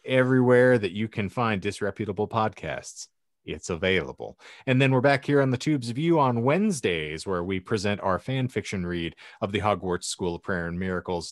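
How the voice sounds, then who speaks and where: A man with a low-pitched voice hosts a comedy podcast in a dry, close-sounding recording. His delivery swings up and down a lot, and his phrasing is ornate and mock-formal. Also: animated, moderate pace, creaky, no room sound. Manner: mock-formal, flowery wording. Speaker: podcast host. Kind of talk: comedic podcast banter and sign-off.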